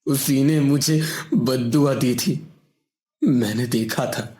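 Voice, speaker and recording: A man's voice: medium pitch, slow pace, warm tone, reverberant, natural voice. A man voicing a strong male wrestler who begs and pleads, in a Hindi cartoon. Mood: desperate. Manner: pleading, begging.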